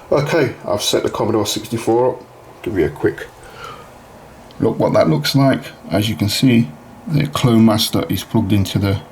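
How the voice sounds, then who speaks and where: A man with a low-pitched voice walks through a practical demonstration in an informal, step-by-step way. He explains each part plainly, as the host of a how-to video. A noticeable layer of noise sits behind his speech. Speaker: video host demonstrating a retro computer repair. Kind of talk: informal hands-on tutorial narration.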